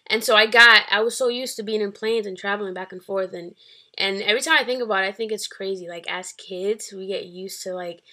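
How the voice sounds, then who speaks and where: A woman's voice: medium pitch, fairly quick, bright, forceful tone. A woman in her twenties from the Dominican Republic and New York, chatting casually as a guest in a hesitant, rambling way. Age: 20s. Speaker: podcast guest. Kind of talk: casual chat.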